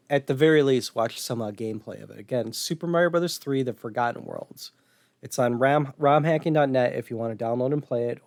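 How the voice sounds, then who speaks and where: A male podcast co-host with a mid-pitched voice, chatting informally. The sound is dry, with no room reverb around him. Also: a little mellow. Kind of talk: casual retro gaming chat.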